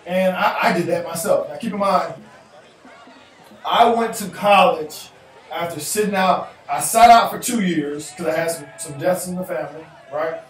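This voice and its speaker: A man in his thirties talking straight to camera, answering viewer emails with animated gestures and repeating phrases for emphasis. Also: high-pitched, normal speed, slight background noise. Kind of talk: casual advice talk to camera. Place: indoor room in front of a chalkboard backdrop. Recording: echoey room, voice a bit far off, faint music.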